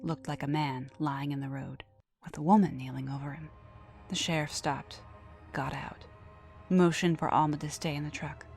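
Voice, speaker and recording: A woman with a lowish voice tells a horror story. Her delivery is scripted and dramatic, sinking into hushed phrase endings, with faint music behind her. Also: fairly even intonation, moderate pace, creaky. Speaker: narrator. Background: background music.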